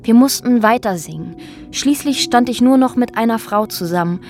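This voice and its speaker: An actress in a German radio drama playing a former activist who soberly recounts a brutal ordeal in measured, thoughtful phrases. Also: female voice, on the high side, a little mellow, soft background music, lightly acted. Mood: grave, restrained.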